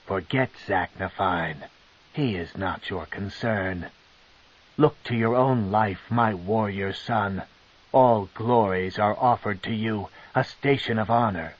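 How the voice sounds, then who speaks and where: A man voicing a cold, scheming female dark elf matriarch, with a slow, commanding and sneering delivery. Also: fairly low pitch. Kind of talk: audiobook character reading. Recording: dull lo-fi tape.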